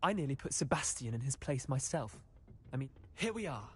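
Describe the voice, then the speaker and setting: An actor playing a cheeky, eager teenage wizard schoolboy with a British accent and chatty, cocky manner in a video game. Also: male voice, medium pitch, expressive, a little fast, breathy edge. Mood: friendly, impressed. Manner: chatty, boyish, colloquial, cocky. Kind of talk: video game character acting.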